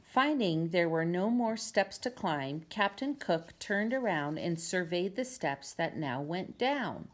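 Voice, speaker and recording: A woman's voice: lowish voice, expressive, takes it slowly, hardly any pauses. A woman reading a children's storybook aloud as narrator, in an amateur home recording. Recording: clear background noise, amateur home video.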